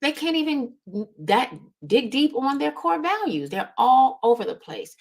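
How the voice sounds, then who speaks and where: A woman's voice: high-pitched, big pitch swings, a little slow, creaky. A woman giving a motivational business coaching talk, speaking emphatically and often checking in with her listeners. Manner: emphatic, checks in with "right?" and "okay?". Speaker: business strategy coach and host.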